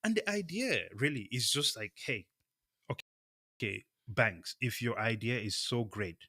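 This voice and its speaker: A man's voice: medium pitch, animated, normal speed, bright, forceful tone, no room sound, clean studio sound. A man from Cameroon co-hosting a show, talking casually and emphatically, often checking in as he makes his point. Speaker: co-host of a crypto show. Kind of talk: casual opinionated discussion.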